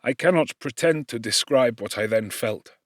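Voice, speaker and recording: A man reads a classic novel aloud as an audiobook narrator. His voice sits at a medium pitch, with formal, dramatic expression. The sound is very dry, free of room echo. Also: lively intonation, moderate pace.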